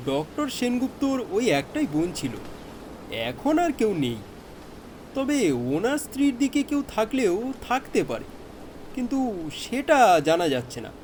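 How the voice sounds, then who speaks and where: A male actor plays a deferential, diligent police inspector. His voice is high for a man and rises and falls a lot as he explains, with some hesitation. Faint noise sits behind this radio-drama voice. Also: darkish tone. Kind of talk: Bengali audio detective drama acting.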